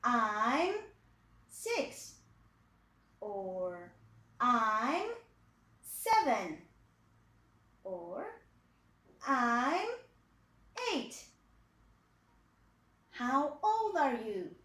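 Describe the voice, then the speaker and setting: Slow, lively-voiced woman teacher. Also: fairly high pitch, roomy sound. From Poland. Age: 20s. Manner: patient, repeats phrases for pupils to copy. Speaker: English teacher for young children. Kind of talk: slow, clearly spoken video lesson for kids.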